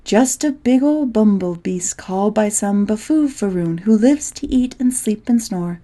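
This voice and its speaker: Slow-paced woman reading rhyming storybook verse. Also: medium pitch, expressive, warm tone. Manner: measured, playful, theatrical delivery. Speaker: poetry reader.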